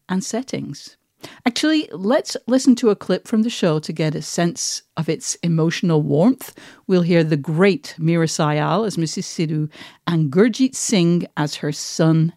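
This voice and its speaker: A woman with a fairly low voice hosts a podcast in a conversational way. The sound is close and dry, with no room on it. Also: darkish tone, no echo. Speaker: podcast host, interviewer.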